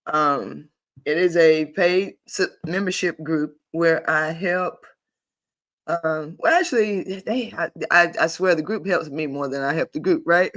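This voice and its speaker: A man with a notably high-pitched voice hosts a live online session for his membership group, talking casually about energy and self-awareness. He speaks emphatically, repeats phrases as he builds a point, asks the listener rhetorical questions and laughs easily, all in a loose, folksy style. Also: a bit bright. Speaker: host of a paid spiritual membership group.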